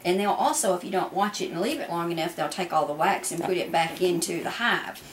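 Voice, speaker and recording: A woman's voice: fairly low pitch, a little fast, reverberant, slight background noise. A woman giving casual, hands-on instruction as a beekeeper while she works indoors. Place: indoor kitchen.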